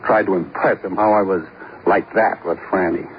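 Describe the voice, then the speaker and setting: A man's voice: low-pitched, fairly even intonation, moderate pace, natural voice. An actor playing a defensive, boastful married man with an American accent and slangy, protesting speech in a 1950s radio crime drama. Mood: indignant, pleading. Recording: muffled, hissy old radio broadcast.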